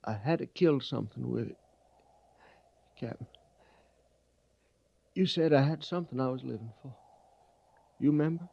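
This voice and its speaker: Dying, defiant soldier, gravelly and halting, old radio western with muffled sound. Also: male voice, medium pitch. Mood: pained, confessing. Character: dying, defiant soldier, haunted ex-deserter seeking decency. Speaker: actor in a western radio drama. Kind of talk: 1950s radio western acting. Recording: muffled vintage radio recording.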